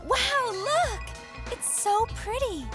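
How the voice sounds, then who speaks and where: A woman voicing a cheerful, curious, friendly teenage schoolgirl in an animated audio drama. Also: extremely high pitch, slow, music in the background, exaggerated voice. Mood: excited.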